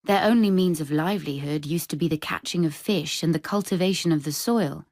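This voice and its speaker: A woman reading literary fiction for radio in a measured, descriptive storytelling manner. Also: low voice, darkish tone, no room sound, clean studio sound. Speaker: short story reader. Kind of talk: scripted literary narration for radio drama.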